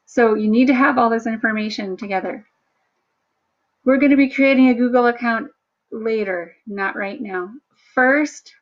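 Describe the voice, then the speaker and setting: A woman instructor talking through a screen-share workshop in a chatty, self-deprecating way, with a low, somewhat monotone voice. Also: highs cut off. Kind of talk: screen-share tutorial narration.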